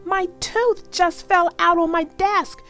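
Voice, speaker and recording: A woman voicing a brash, boastful schoolgirl in a storybook, loud and taunting. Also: very high-pitched, fairly even intonation, slow, soft background music, voice pushed up, exaggerated voice. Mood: excited.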